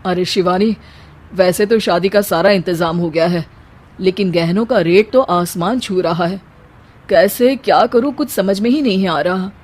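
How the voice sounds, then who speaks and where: A man's voice: high voice, a little slow. A man voicing an earnest, protective family man in a cartoon moral story. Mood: worried.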